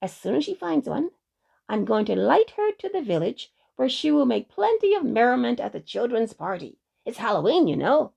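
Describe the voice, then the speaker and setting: A woman's voice: on the high side, very animated, normal speed, vocal fry, mellow, slightly rough, exaggerated voice. A woman voicing a friendly, earnest little lantern man, a helpful sprite in a children's storybook.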